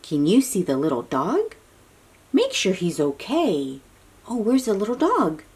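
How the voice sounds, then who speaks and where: A woman reading a picture book aloud to young children in an animated, breathy, questioning way, drawing out her words. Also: mid-range voice. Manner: animated, drawn-out, questioning delivery aimed at little children. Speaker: children's read-aloud host.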